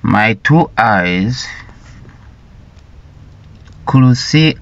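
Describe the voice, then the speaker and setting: A middle-aged man from Rwanda telling his life story in a deliberate, halting way, often repeating words. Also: mid-range voice, expressive. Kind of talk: slow personal storytelling. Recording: dull lo-fi recording.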